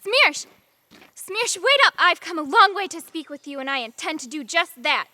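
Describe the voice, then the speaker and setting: Woman playing a high, bright, feisty young heroine. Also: big pitch swings, moderate pace, no echo, exaggerated voice. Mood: defiant. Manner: emphatic, defiant. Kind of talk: radio drama acting.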